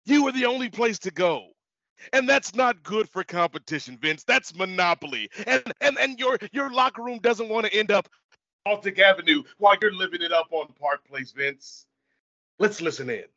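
A man playing a moralizing Santa Claus who lectures haltingly in a comedic audio play. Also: high-pitched, animated, pushed and bright, no room sound, exaggerated voice. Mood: admonishing. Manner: preachy, admonishing, halting. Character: moralizing Santa Claus showing a greedy boss his wrongs. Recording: dull lo-fi audio.

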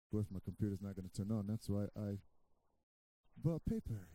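A middle-aged man from Brazil, a guest pastor preaching a sermon, halting with restarts, then loud and emphatic. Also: on the low side, expressive, dry recording.

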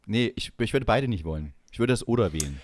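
A man's voice: fairly low pitch, lively intonation, fast, slight background noise. A man co-hosting a casual banter podcast, teasing and playfully mock-enthusiastic. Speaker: podcast co-host.

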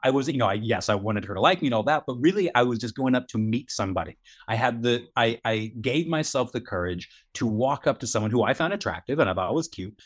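A man in a middle pitch co-hosts a casual podcast chat. He talks earnestly and encouragingly, circling back and repeating his points for emphasis. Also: animated, fast, hardly any pauses. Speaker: podcast co-host.

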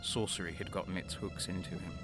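An actor playing a bitter, violent male renegade guardsman, narrating slowly in the first person. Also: sits low, steady tone, natural voice. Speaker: narrator and lead. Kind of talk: audio drama narration. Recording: music underneath.

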